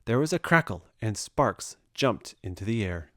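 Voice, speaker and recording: A man reading a children's story aloud with expressive narration. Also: on the low side, normal speed, dry recording, clean studio sound. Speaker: storybook reader.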